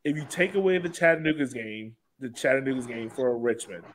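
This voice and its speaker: A man's voice: mid-range voice, fairly quick, slight background noise, rough recording. A man hosting a casual sports talk show, chatting in an informal, joking way. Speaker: podcast host.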